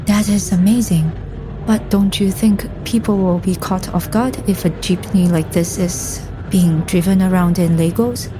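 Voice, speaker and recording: A woman playing a thoughtful agent in an audio drama, narrating and talking in a measured way. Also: fairly low pitch, fairly even intonation, noisy background, lightly acted. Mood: calm. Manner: measured, explanatory. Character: thoughtful, measured young female agent narrating her journey. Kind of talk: audio drama acting and first-person narration.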